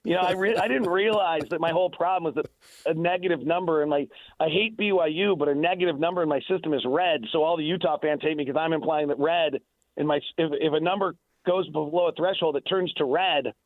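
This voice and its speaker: A man's voice: a little high, fairly quick, no room sound. An American man doing unscripted sports analysis on radio, insistent and emphatic, often repeating himself, over a thin phone line. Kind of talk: unscripted sports debate and commentary.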